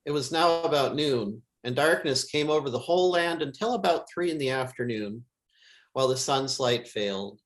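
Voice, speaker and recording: Solemn man reading scripture, mid-pitched. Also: lively intonation, normal speed.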